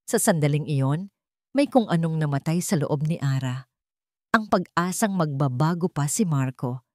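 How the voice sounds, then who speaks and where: A woman's voice: low-pitched, a little mellow, no echo, studio quality. A woman reading a scripted dramatic story as an audiobook narrator.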